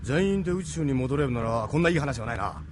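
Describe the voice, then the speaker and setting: An actor playing a decisive, steadfast resistance captain in an anime audio drama, with a gruff manner. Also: male voice, mid-range voice, animated, fast talker, noisy background, natural voice. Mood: decisive, rallying.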